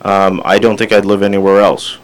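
A man's voice: deep voice, flat delivery, a little fast. A man from Canada hosting a radio interview, asking conversational, probing questions.

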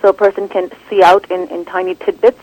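A woman film director answering interview questions in an animated, rambling way, full of restarts and "like". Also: medium pitch, a little fast, dry recording, highs cut off. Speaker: documentary director, guest.

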